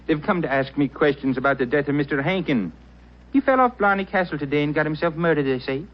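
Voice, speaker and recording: Actor as lilting Irish waiter, highish voice. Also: male voice, expressive, fast talker, lightly acted. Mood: indignant, frank. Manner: lilting, plain-spoken. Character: young Irish inn waiter, singing, blunt and unabashed. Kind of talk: 1940s radio acting. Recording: dull, band-limited vintage radio recording.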